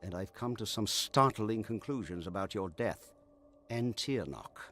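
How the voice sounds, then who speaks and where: An actor playing a grave scholar in a fantasy game, speaking in a measured, ominous, expository manner. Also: male voice, on the low side, animated, fairly bright tone, no echo. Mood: intent, fascinated. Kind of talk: dramatic video game dialogue.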